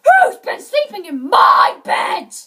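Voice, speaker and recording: A woman voicing a huge, angry father bear for a storybook, in a big, booming, growling voice. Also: wildly swooping pitch, far from the mic, exaggerated voice. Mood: angry, outraged. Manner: growling, slow shouting.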